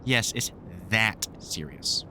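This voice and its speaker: Man playing a wry, protective older vampire hunter, lively intonation. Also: fairly low pitch, slow pace, a little hiss or hum, full character voice. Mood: earnest warning. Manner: reassuring, wry, casually sweary. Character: gruff, protective older male vampire hunter, caring mentor. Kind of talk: one-sided audio roleplay, conversational comfort scene.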